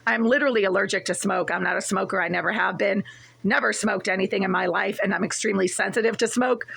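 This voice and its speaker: A woman with a gravelly, husky voice that sounds short of breath. She piles up accusations in long, relentless lists, agitated and insistent, as she records herself in a cramped apartment bathroom. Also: mid-range voice, steady tone, quick pace, fairly bright tone. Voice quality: raspy. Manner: agitated, relentless, repetitive listing. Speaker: tenant recording a complaint. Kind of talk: angry first-person complaint vlog. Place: small apartment bathroom, then hallway.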